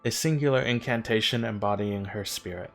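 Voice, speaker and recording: An actor playing the courteous, wistful spirit of an ancient man in a video game cutscene, speaking in formal, measured, gently wry phrases. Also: lowish voice, natural voice. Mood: quietly confiding. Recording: game dialogue with music underneath. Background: background music.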